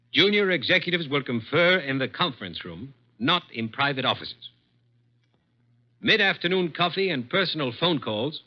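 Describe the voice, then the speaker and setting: An actor playing a cruel, contemptuous executive in his thirties in a vintage radio drama, sneering and domineering. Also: a little high. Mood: officious. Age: 30s. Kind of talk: 1940s-50s radio drama acting. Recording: dull vintage radio recording.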